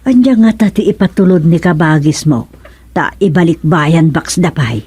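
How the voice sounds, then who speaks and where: A woman's voice: sits low, animated, moderate pace, dark tone, husky, dry recording. A woman with an Ilocano accent playing a practical, inquisitive village neighbour in a radio comedy drama.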